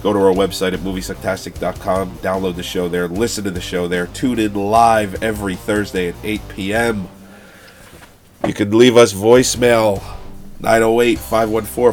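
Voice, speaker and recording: A man who is tired and under the weather, co-hosting a podcast in casual, profane chat. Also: lowish voice. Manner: profane, informal. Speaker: podcast co-host.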